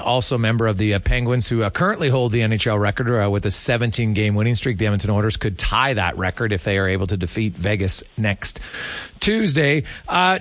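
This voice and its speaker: A man's voice: medium pitch, a bit bright, no room sound. A man hosting a casual radio sports interview, asking questions in a chatty, informal way.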